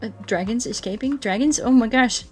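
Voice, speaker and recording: A woman's voice: a little high, fairly quick, a little mellow, breathy edge. A woman livestreaming a game, chatting casually and playfully with her viewers in a rambling way. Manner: playful, rambling, talks to chat. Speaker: game streamer. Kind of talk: casual livestream chat while playing a game. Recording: music behind the voice. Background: music.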